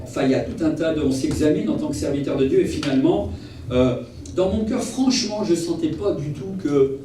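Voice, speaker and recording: A man's voice: fairly high pitch, fairly quick. Guy preaching in a really echoey church, kinda far from the mic, earnest, tells stories, repeats himself. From Portuguese family origin. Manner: animated, earnest, repeats phrases for emphasis. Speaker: travelling evangelist. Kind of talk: sermon with personal storytelling. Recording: echoey hall, distant mic, faint noise.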